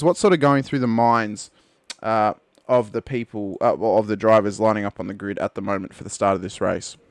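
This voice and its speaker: Man calling a sim race, lively. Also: mid-range voice, no room sound, studio quality. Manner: lively, talks to the viewers, rises to shouts at big moments. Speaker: lead race commentator. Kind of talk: sim racing play-by-play commentary.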